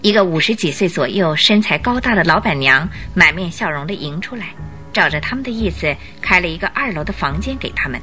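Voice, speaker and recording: A woman narrating a Mandarin radio drama in a scripted, expressive storytelling style. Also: fairly low pitch, a little fast, faint music behind.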